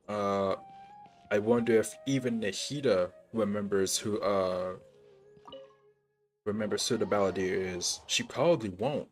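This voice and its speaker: A man with a very low voice plays through a game on stream and mutters casual asides full of fillers and slang. Faint game music runs underneath his talk. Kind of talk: casual gaming commentary.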